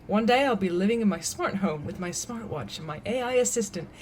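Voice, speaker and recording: A bubbly young woman, played by an actress, teases playfully in an audio drama. Her pitch is animated and swoops about, her talk casual and mocking, with faint ambience behind. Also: fairly quick, a little hiss or hum, natural voice. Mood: teasing, gushing. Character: bubbly, teasing young coffee-shop worker and corporate fangirl.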